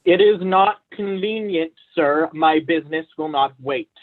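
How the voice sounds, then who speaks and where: A man's voice: fairly high pitch, unhurried, narrow, tinny audio, natural voice. A young man, a student actor, playing a pompous, vain, blustering poet and husband in a staged reading of a period comedy, speaking with stiff formality. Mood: stiff, indignant. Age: young adult.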